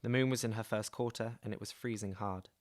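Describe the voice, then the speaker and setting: A man reading a novel aloud in a calm, even storybook manner. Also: on the low side, a little fast. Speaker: reader.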